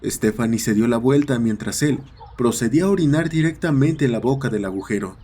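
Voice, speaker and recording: A man's voice: mid-range voice, a little fast, warm tone. A man narrating a scripted horror story. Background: ambient sound effects.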